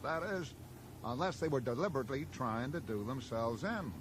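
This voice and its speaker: A man's voice: medium pitch, animated, normal speed, close to an everyday voice. A man playing a blunt, probing small-town American sheriff in a radio drama, speaking in a folksy, matter-of-fact way. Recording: noisy 1980s radio drama broadcast.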